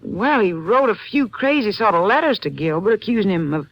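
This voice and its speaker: An actress playing a composed, subdued widow in an old-time radio drama, giving measured, earnest answers. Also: female voice, mid-range voice, sing-song, highs cut off. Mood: earnest recall.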